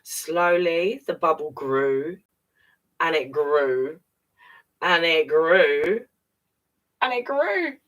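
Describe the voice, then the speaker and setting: A woman's voice: deep voice, expressive, extremely slow, pauses often, dull audio. A woman reading a children's picture book aloud expressively, with chatty asides straight to young listeners. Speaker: children's storyteller.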